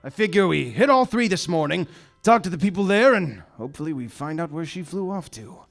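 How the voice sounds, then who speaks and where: An actor playing a loyal, laid-back island sidekick who encourages the detective, in a live comedy radio play. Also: male voice, fairly high pitch, animated, fairly quick, vocal fry, fairly bright tone, faint music behind.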